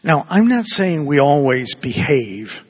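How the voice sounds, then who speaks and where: Man, Cuban-born priest, husky voice, long church reverb. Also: fairly high pitch, big pitch swings, slow. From Cuba. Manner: conversational, digressive preaching with asides. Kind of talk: homily.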